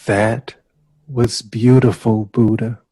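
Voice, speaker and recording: A man's voice: lowish voice, level tone, slow pace, warm tone, breathy edge, exaggerated voice. An actor playing a composer's devoted but exasperated male partner in a 1960s audio drama. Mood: tender.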